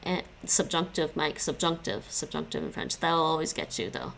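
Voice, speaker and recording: A woman's voice: sits low, animated, normal speed, vocal fry, a bit bright, slight background noise. A young woman chatting casually with stream viewers while she studies languages. She stops and restarts often and laughs a lot. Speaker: live streamer studying languages.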